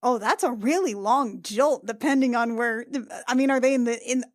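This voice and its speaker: A woman improvises a story as a player in a tabletop game. Her voice sits fairly high with a dry, close sound, and she talks casually and playfully, building phrases as she goes. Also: normal speed, no room sound. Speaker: player in a tabletop roleplaying podcast.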